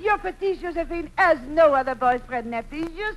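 A woman's voice: high voice, expressive, brisk. A woman playing a gushing, coquettish French empress with a French accent in an old-time radio comedy. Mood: cooing. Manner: gushing, coquettish, baby-talk endearments. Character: coy, cooing French empress sweetheart. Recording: noisy vintage radio broadcast recording.

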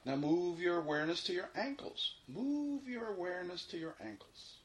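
A man leading a guided meditation, giving unhurried, repeated instructions with long pauses between them. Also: high voice, lively intonation. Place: informal indoor room. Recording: roomy echo, faint background noise.

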